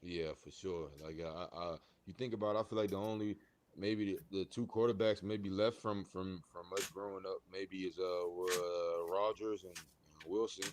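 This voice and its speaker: A man's voice: low-pitched, fairly even intonation, normal speed, a little hiss or hum. A man in his twenties chatting casually as a sports podcast guest, agreeable and slangy, feeling his way through answers. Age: 20s. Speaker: podcast guest, American football player.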